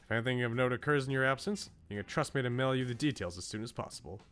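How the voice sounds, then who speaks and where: A man voicing an earnest, bookish researcher from a visual novel in a measured, formal, serious way. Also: mid-range voice, fairly bright tone, faint music behind, lightly acted. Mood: cordial.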